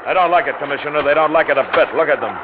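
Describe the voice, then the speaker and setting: Man acting a defiant plantation boss, high-pitched forceful orders, old radio drama, noisy recording. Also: racing, narrow, tinny audio, lightly acted. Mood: exultant. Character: commanding, defiant plantation owner fighting off an ant army. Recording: noisy, band-limited 1950s radio recording.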